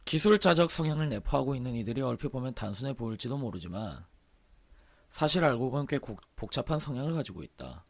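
A man with a hoarse, sore-throated voice, chatting casually on a livestream as he reads aloud and comments offhandedly. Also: mid-range voice, sing-song, fast. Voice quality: hoarse, husky. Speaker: game streamer.

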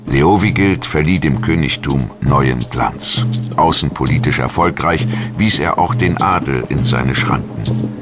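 A man reading a scripted history documentary narration in a measured, articulate storytelling manner. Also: rumbling low. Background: music.

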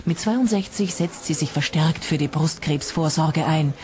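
A woman reading a scripted TV report voice-over with a measured, composed delivery. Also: sits low. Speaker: narrator. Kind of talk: scripted TV narration. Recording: voice-over with loud music under it.

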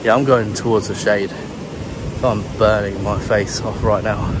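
A British man from England giving monotone, relaxed commentary while walking a beach outdoors, filming on a handheld camera. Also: on the low side, measured pace. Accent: British English. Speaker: travel vlogger. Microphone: handheld camera carried while walking. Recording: clear wind and surf noise. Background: ocean waves and breeze.

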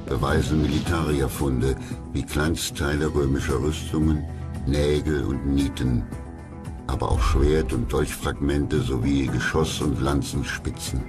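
A man reading scripted documentary narration in a dramatic, measured storytelling manner. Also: a bass voice, steady tone. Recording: loud music under the voice. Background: music.

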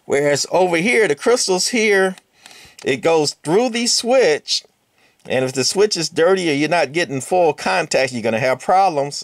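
A man's voice: on the high side, no room sound. A man giving a casual hands-on walkthrough of a radio's insides at a workbench, explaining in an easygoing way with many fillers and now and then talking to the parts. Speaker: radio repair hobbyist host. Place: workbench, indoors.

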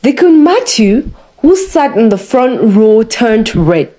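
A woman narrating a scripted story in a measured, dramatic way. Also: fairly high pitch, big pitch swings, warm tone.

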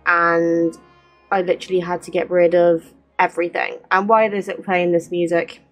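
A woman's voice: on the low side, little pitch movement, normal speed. A woman in her twenties chatting casually and candidly as she plays a game, speaking into a desk mic. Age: 20s. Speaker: streamer playing a game. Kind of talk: casual chat over gameplay, reading dialogue aloud. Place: small bedroom with gaming setup. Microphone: close desk microphone. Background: game music.

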